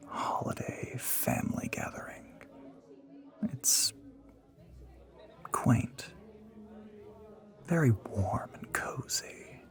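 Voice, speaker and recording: A man voicing an ancient, suave vampire in an intimate romantic audio roleplay, teasing and possessive, with a breathy whisper and formal, condescending phrasing. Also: mid-range voice.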